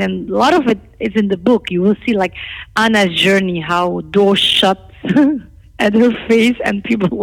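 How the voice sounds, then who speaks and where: A middle-aged woman from Lebanon whose first language is Armenian speaks as an interview guest. Her mid-pitched voice has a marked creak, and she is candid, outspoken and emphatic. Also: poor audio. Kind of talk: candid interview talk about own life.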